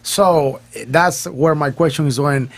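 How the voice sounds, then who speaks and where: A man's voice: on the high side, takes it slowly. A man hosting a bilingual morning radio show, talking with many fillers and restarts.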